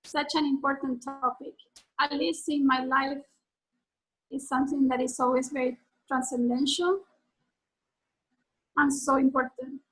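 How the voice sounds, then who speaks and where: A woman in her forties from Argentina, a native Spanish speaker with an Argentine accent, giving an earnest, halting teaching over a video call. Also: sits high, level tone, low-quality recording. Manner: earnest, halting, with many fillers and restarts.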